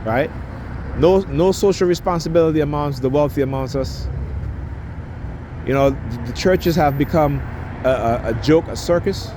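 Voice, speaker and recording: A man's voice: on the high side, moderate pace, long pauses. A man in his fifties speaking emphatically in rambling street talk, often tagging "right?" and stumbling over repeated words as he interviews passersby. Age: 50s. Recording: loud traffic and street noise.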